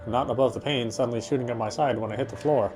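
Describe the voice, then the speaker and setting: A male game streamer reads story narration aloud in a mid-range voice. He occasionally trips over a word and picks it up again. Music plays clearly behind him. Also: medium pitch, steady tone, fast. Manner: stumbles over words while reading.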